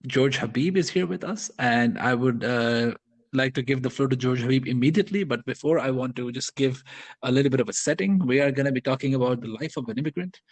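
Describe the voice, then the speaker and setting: A man's voice: medium pitch, a little fast. Chatty male podcast host from the Middle East. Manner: chatty, playful, laughs easily. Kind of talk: casual podcast interview. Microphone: smartphone.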